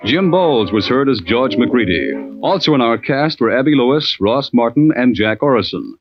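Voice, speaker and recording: A man's voice: medium pitch, lively intonation, normal speed. A man making scripted announcements in the style of 1940s radio. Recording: muffled old radio broadcast. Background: music.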